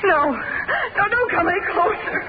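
An actress playing a plucky, excitable young lady sidekick in an old-time radio drama. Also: very high-pitched, measured pace. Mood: pleading, frightened. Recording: muffled, noisy old radio recording.

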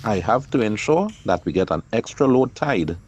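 A middle-aged man, calmly narrating his own kayak outing in a measured, explanatory voice-over. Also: on the low side, a little hiss or hum. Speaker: kayaker and channel host.

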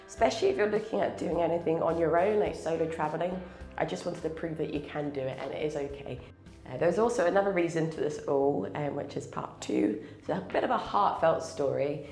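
A woman's voice: low-pitched, brisk, darkish tone, roomy sound, soft background music. A woman in her thirties, stuffy and a little nasal from a cold, chatting to camera as a travel vlogger and laughing often. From Scotland. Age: 30s. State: congested from a cold. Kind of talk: casual vlog to camera. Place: small wooden cabin, then inside a car. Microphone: clip-on lapel mic.

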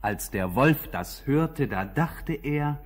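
A man narrating a scripted fairy tale as a calm, measured storyteller. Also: mid-range voice, slightly rough.

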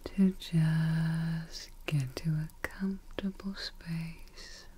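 A woman guides a meditation in a breathy, whispered ASMR voice that sits low and mellow. She speaks extremely slowly, leaving gentle pauses between short, soothing phrases, close to the mic. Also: steady tone, slight background noise. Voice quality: breathy, soft, mostly whispered. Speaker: ASMR host guiding meditation. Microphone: close ASMR microphone.

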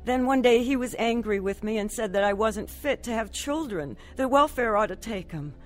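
A woman's voice: on the high side, lively intonation, moderate pace, faint music behind, natural voice. An actress playing a troubled, despondent mother in her thirties, narrating and acting in an earnest radio drama style. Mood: somber, reflective.

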